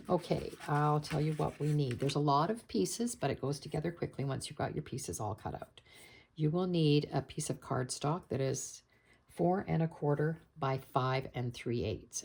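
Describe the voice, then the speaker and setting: A woman with a low, gravelly voice, hoarse and croaky from a cold. She talks through a card-making tutorial in a chatty, patient way, with a husky edge. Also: mellow. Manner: chatty, explains steps patiently with fillers. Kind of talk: casual crafting tutorial.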